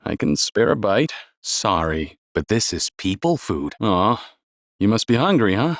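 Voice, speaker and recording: A man's voice: on the low side, big pitch swings, a little slow, no echo, studio quality. A man voicing an easygoing, friendly outdoorsman who loves his food, in a video game. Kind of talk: video game NPC voice acting.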